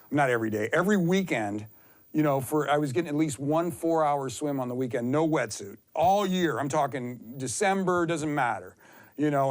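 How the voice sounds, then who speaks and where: A man in his fifties telling casual stories as an interview guest, excitable and self-deprecating, often rambling and acting out what was said. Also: on the high side. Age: 50s. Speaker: endurance athlete, interview guest. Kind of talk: casual, anecdotal interview storytelling.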